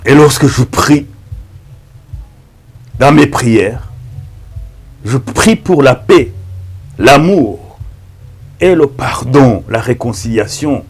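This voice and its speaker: A man with a Rwandan accent, a native Kinyarwanda speaker, preaching as a pastor in a halting, emphatic manner with repeated words. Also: medium pitch, lively intonation, husky, faint music behind. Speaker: pastor and evangelist. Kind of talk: preaching introduction to camera.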